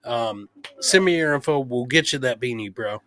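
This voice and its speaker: A man hosting a casual livestream podcast, effusively plugging and shouting out his guests with profane, brotherly familiarity. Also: medium pitch, animated, normal speed, dry recording. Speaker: podcast host. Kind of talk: casual livestream chat with plugs and shout-outs.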